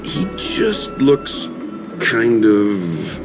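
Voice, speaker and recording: Polite, cautious game hero, very low voice, slow deliberate delivery, over music. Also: male voice, very animated, full character voice. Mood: hesitant, apologetic. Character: polite, serious, cautious male traveler with deep voice. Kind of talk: English video game voice acting. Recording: studio voice with music behind.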